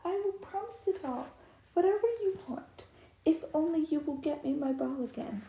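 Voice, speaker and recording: A woman's voice: sits high, animated, moderate pace, mellow, voice pushed up. A woman voicing a pampered young king's daughter who pleads eagerly. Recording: roomy echo.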